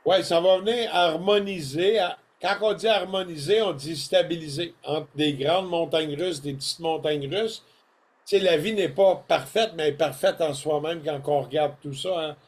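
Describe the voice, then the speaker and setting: A man's voice: a little high, moderate pace. An older man with a Québécois French accent, chatting casually on a podcast in a folksy, storytelling way. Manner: folksy, animated storytelling with colloquial asides. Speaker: co-host presenting products.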